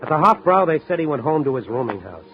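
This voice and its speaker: An old, muffled radio recording of a hard-boiled night reporter. He talks fast and clipped, with wry, world-weary steadiness. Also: on the high side, sing-song, over music. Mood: brooding narration. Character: steady, world-weary male big-city night-beat newspaper reporter. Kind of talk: 1950s radio drama acting and narration.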